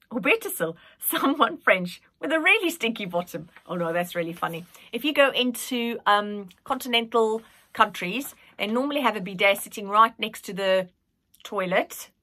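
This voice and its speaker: A woman reading a children's story aloud in an expressive, dramatic manner. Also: mid-range voice, normal speed. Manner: animated, dramatic.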